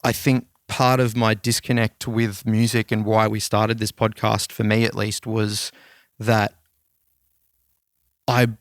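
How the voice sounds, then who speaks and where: Gravelly Australian man chatting on a podcast. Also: on the low side, little pitch movement, slow, no echo, clean studio sound. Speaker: podcast host. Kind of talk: casual podcast chat.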